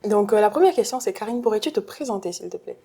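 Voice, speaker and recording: A woman's voice: mid-range voice, animated, fast, mellow. A woman hosting a studio interview, chatty and playful, opening with a teasing intro before asking questions. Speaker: interview host. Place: studio set.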